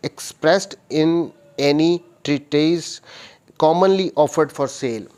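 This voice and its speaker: A man with a Pakistani accent teaches in a methodical, explanatory way. His voice sits at an ordinary male pitch and he speaks slowly. He reads formal clauses, then restates each one in simpler terms. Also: darkish tone, smooth. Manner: methodical, repeats and rephrases each point. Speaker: law lecturer. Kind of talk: explanatory lecture, reading statute clauses and explaining them.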